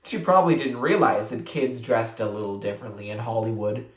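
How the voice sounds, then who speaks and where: A reader narrating a children's novel aloud in an expressive, deliberate storytelling manner. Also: mid-range voice. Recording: roomy echo.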